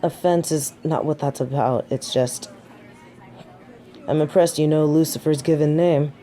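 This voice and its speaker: Woman acting a haughty, unhurried fallen angel lady. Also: low-pitched, fairly even intonation, warm tone. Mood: wry, reminiscing. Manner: pompous, formal, indignant, disdainful. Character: haughty, snobby fallen female angel of judgement, centuries old. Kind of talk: scripted audio roleplay drama.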